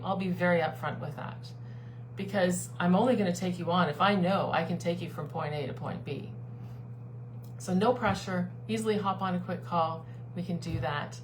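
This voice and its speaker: A woman's voice: mid-range voice, poor audio. Earnest life coach woman, humming background. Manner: earnest, persuasive, repeats key phrases. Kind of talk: live-streamed talk to a online group. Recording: steady background hum.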